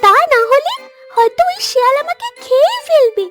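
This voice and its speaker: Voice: very high-pitched, sing-song, normal speed, a bit bright, faint music behind, heavily acted. A performer voicing a frightened little duck in a cartoon. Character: frightened little duck afraid of being eaten. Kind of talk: cartoon dialogue.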